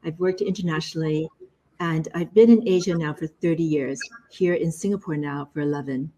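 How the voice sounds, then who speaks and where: A woman's voice: sits low, fairly even intonation, a little fast, warm tone, poor audio. A middle-aged woman from the United States introducing herself on a panel in a confident, polished way. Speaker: panelist, entrepreneur.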